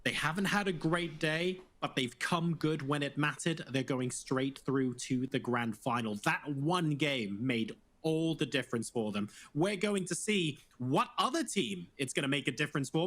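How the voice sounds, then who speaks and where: Man, esports caster, live commentary, energetic, clicks in background. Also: a little high, a little hiss or hum.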